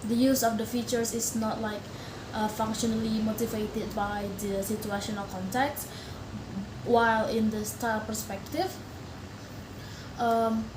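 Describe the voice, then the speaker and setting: A fairly high-pitched young woman from Indonesia, a native Indonesian speaker, presents for a class and stops often on fillers and restarts. Her voice carries an echo from the room, and clear noise sits behind her. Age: young adult. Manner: hesitant, many fillers and restarts. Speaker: student. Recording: echoey room with background noise.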